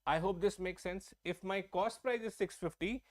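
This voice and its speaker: A man's voice: high voice, moderate pace. A young man with an Indian English accent teaching exam-prep math in a live online lesson. He talks in a chatty way, repeats himself and often checks whether viewers are following. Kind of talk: live-streamed whiteboard lesson. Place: indoor studio at a large screen.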